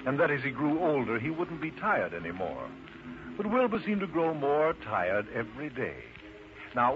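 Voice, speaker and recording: Highly animated male children's storyteller. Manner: theatrical, emphatic phrasing. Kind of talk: scripted children's story narration. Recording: dull, noisy low-fidelity recording.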